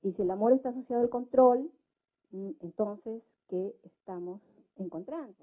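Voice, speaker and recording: A woman's voice: mid-range voice, moderate pace. A woman giving explanatory remarks in a public debate, methodical but searching for words as she goes. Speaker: debate participant. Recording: muffled narrow phone-like sound.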